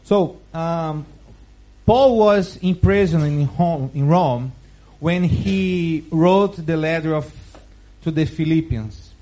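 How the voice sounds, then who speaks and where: Male preacher, Brazilian Portuguese accent, non-native English, slightly higher voice, slow and careful Bible reading. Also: dark tone, low-quality recording. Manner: slow, careful, halting phrasing with restarts.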